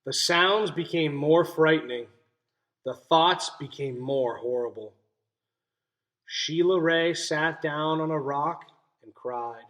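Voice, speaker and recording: Unhurried, higher-pitched man reading to children. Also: a bit bright. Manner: explanatory, addresses young listeners. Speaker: read-aloud teacher. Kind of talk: children's story read-aloud with questions to listeners.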